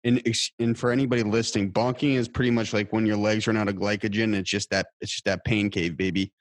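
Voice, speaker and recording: A man with a lowish voice hosts a podcast in a casual, bro-ish way. His questions come through very dry, with no room around the voice. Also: flat delivery, quick pace, no room sound. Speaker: podcast interviewer.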